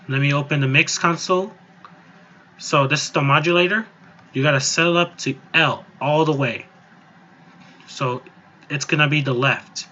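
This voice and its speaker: A man giving a casual, improvised software tutorial, explaining step by step in an informal, rambling way. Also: a little high, muffled recording. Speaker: music software tutorial presenter. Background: voice-over on a screen recording.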